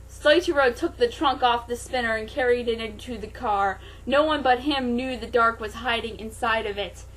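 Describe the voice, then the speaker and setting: A woman's voice: a little high, a bit bright, slight background noise, poor audio. A woman reading fan fiction aloud as a host, in a theatrical, comic style with sarcastic asides.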